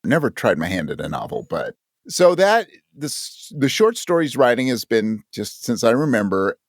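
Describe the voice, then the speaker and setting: A man's voice: mid-range voice, very animated, normal speed, no room sound, studio quality. A man giving thoughtful, reflective answers as an interview guest, searching for his words as he explains. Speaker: podcast guest, audio drama creator.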